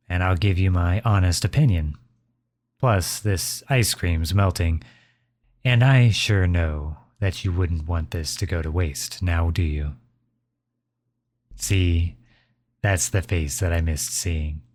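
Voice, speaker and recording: Man acting as a gentle, low-voiced, slow-talking dad. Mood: gentle concern. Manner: tender, reassuring, softly chuckling. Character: gentle, caring middle-aged father comforting his child. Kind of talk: ASMR roleplay, intimate acted monologue.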